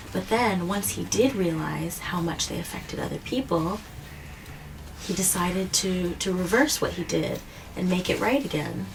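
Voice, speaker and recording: Woman explaining gently, slightly distant mic. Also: mid-range voice. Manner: gently didactic, often checking with questions. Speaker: host of a children's story read-aloud show. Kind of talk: picture-book reading and simple explanations to a child. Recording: distant mic, faint background noise.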